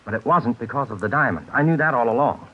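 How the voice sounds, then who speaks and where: An actor playing a fussy, courteous, murderous schemer in an old-time radio suspense drama. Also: male voice, mid-range voice, animated, fast talker, slightly rough. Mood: apologetic, prim. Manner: prim, courteous, hesitant. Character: fussy, polite, soft-mannered murderous male schemer. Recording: dull, hissy vintage radio recording.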